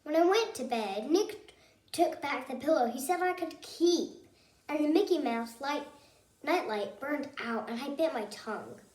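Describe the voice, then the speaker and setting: A woman reading a children's picture book aloud as a storyteller, expressively and in short, measured phrases. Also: high voice, expressive, darkish tone. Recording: roomy echo, distant mic.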